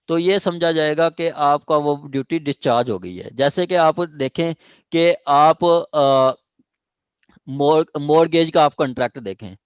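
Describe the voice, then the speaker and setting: A man's voice: a little high, smooth, dry recording. A man with a Pakistani accent teaching evidence law to a class, explaining step by step with many fillers and checking that students follow. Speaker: law lecturer.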